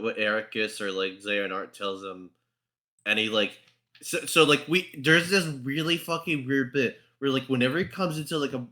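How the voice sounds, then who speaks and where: A man's voice: fairly low pitch, sharp tone. A man chatting casually on a podcast, rambling and swearing as he stammers through restarts. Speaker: podcast panelist and fandub voice actor. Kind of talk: casual group chat about a game fandub.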